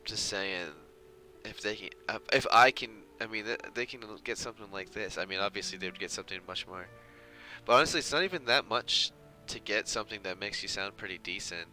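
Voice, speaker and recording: A man's voice: on the low side, brisk, sharp tone, faint music behind, rough recording. A man giving casual live gameplay commentary as a streamer, slangy and given to swearing and mimicry. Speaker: game streamer.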